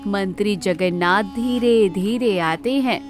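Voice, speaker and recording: A woman's voice: mid-range voice, slow, soft background music. A woman narrating a scripted storybook tale.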